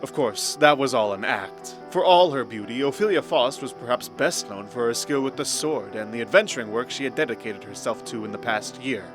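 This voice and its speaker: Male narrator, medium pitch, scripted storytelling, faint musical backing. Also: lively intonation, a little fast, hardly any pauses. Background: faint background music.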